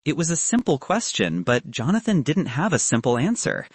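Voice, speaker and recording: A man's voice: fairly high pitch, huge pitch swings, moderate pace, breathy edge, dry recording. A man reading scripted fiction as a narrator, moving between narration and acted dialogue. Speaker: audiobook narrator.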